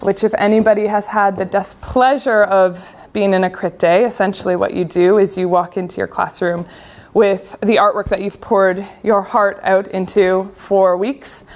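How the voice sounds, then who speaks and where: A woman's voice: mid-range voice, fairly even intonation, moderate pace, darkish tone. A young woman with a Canadian accent giving a casual, chatty conference talk on design. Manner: casual, chatty with frequent fillers. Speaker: conference speaker.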